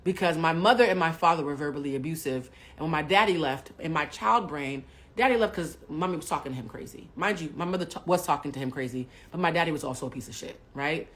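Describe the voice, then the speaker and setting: Low-voiced woman in her forties, blunt and animated. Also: quick pace. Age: 40s. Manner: blunt, profane, animated storytelling that jumps between hushed asides and loud bursts. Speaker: livestream host. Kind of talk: candid storytelling to a live audience.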